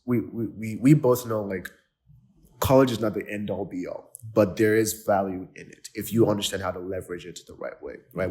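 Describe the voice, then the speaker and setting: Nigerian-born man, lowish voice, chatty host. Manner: enthusiastic, many "like" fillers and restarts. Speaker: podcast host. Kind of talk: casual interview chat.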